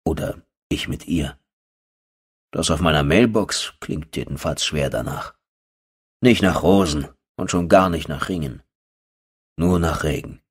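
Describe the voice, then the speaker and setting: A man's voice: extremely low pitch, a little slow, long pauses, husky. A man narrating a first-person horror audiobook as a storyteller.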